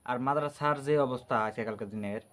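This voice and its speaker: A man's voice: mid-range voice, fast, a bit bright. A man giving informal commentary on a recording.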